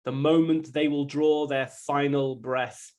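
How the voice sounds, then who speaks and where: A man, a guest reader, giving a measured recitation at a poetry reading. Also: fairly high pitch, little pitch movement, a little mellow.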